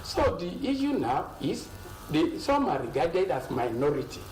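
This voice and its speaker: An older man with a Nigerian accent, a linguistics professor speaking as a studio guest in a slow, measured way with many pauses. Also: fairly high pitch, sing-song, darkish tone. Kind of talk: studio interview. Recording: roomy and a bit distant, faint noise.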